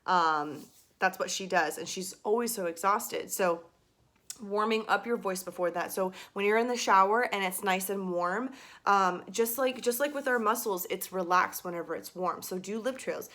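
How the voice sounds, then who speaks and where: A woman's voice: moderate pace, fairly bright tone. Chatty young woman voice teacher. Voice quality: slight gravel in her low register. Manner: chatty, filler-heavy, demonstrating exercises. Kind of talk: casual video lesson.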